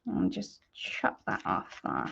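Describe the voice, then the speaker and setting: A woman's voice: slow pace, fairly bright tone, muffled recording, slight background noise. A woman hosting a live craft tutorial, chatting informally to viewers and thinking aloud as she works. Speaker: host of a live papercrafting demonstration.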